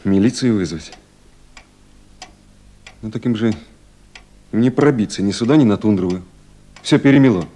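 A man playing a calm, courteous, reserved figure in a radio drama, speaking tersely and with understatement. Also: on the low side, normal speed, pauses often, lightly acted. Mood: calm, dry. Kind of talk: Soviet radio drama acting.

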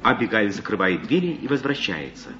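A man's voice: lowish voice, sing-song, fairly quick. A man narrating the stage action of a play as a scripted announcer. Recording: dull old broadcast recording, faint noise.

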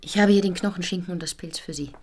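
A woman's voice: on the low side, quick pace, a little mellow. An actress playing a polite, deferential woman serving food to a guest in a radio drama. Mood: polite, attentive. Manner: courteous, deferential.

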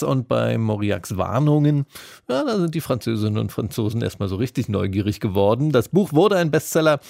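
Creaky low-voiced male radio host. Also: a little mellow, no echo, studio quality. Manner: emphatic, casual phrasing. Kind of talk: conversational scripted radio presenting.

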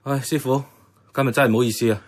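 A man's voice: medium pitch, steady tone, rapid-fire, close to an everyday voice. A man acting an idealistic, stubborn young activist with a Hong Kong Cantonese accent, earnest and persuasive. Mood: apologetic, explaining. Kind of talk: radio drama acting.

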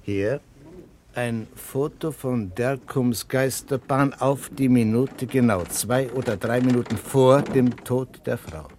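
An actor playing a stern, sceptical police inspector in a 1960s German radio crime drama, questioning in a dry, sarcastic way. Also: male voice, mid-range voice, slow pace, darkish tone, a little hiss or hum, natural voice. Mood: stern, pressing.

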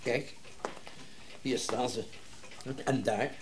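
An actor playing a wealthy, self-important businessman and collector in a Dutch radio drama, brisk and commanding. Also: mid-range voice, steady tone, background noise. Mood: businesslike.

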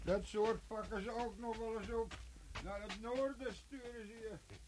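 An actor playing a flustered, possessive gravedigger who stammers and whines in a radio play. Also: male voice, high voice, fairly even intonation, a little slow, noisy background. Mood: whining, spiteful. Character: pitiful, flustered male gravedigger, whining and possessive.

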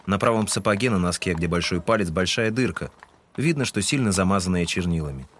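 A man's voice: low-pitched, expressive, a little fast, slight background noise. A man giving scripted literary narration in an audio drama. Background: music.

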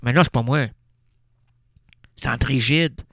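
A man's voice: medium pitch, measured pace, a bit bright, dry recording. A man with a Quebec French accent playing an exasperated but doting, wordy husband in an absurd radio comedy, mock-solemn and easily flustered. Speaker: radio show host.

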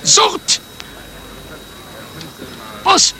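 A man playing a slow-witted, obedient henchman in a satirical radio comedy, dutifully parroting what he is told. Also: crowd noise. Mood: dutiful, earnest. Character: squeaky, slow-witted, obedient henchman reporting to his boss.

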